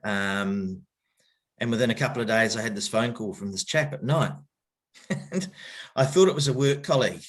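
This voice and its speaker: A middle-aged man with a New Zealand accent and a fairly low voice talks through his past in an interview. He hesitates often, restarts his sentences, and speaks openly and reflectively. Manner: halting, many fillers and restarts, open and reflective. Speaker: guest, cricket coach. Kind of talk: candid podcast interview storytelling.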